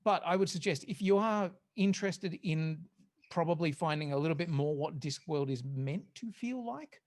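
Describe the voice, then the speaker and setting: A podcast panellist with a mid-range voice talks casually among a group. The delivery is halting and hesitant, feeling its way through each thought. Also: mid-range voice, darkish tone.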